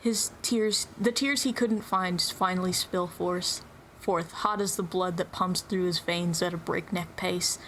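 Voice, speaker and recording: A woman's voice: on the low side, steady tone, unhurried, a bit bright, slight background noise, poor audio. A woman reading scripted story narration in an informal dramatic reading. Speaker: reader in a group dramatic reading.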